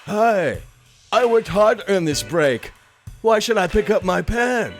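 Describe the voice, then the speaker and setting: A man's voice: high-pitched, sing-song, a little slow, faint music behind, heavily acted. A man acting a laid-back, easily led writer in an audio drama, casual and nonchalant. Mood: nonchalant, compliant. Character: laid-back, idle male writer, easily pushed around.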